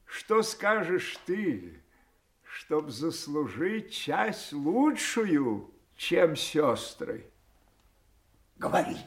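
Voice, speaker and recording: An actor playing a proud, imperious old king in a solemn, declamatory classical audio drama, quick to rage. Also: a little high, very animated, echoey room, full character voice. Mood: regal, fond.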